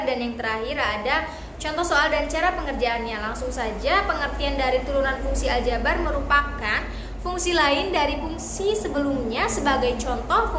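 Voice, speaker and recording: A young woman, a pharmacy student, giving a recorded class presentation and working through math rules step by step in a steady, methodical way. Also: high-pitched, reverberant, background noise. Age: young adult.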